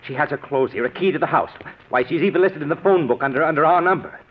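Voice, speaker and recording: An actor playing a resentful, henpecked husband with simmering menace and strained politeness, in 1940s radio drama style. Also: male voice, on the high side, quick pace, close to an everyday voice. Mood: exasperated. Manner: tense politeness giving way to bitterness. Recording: tinny, noisy vintage 1940s radio recording.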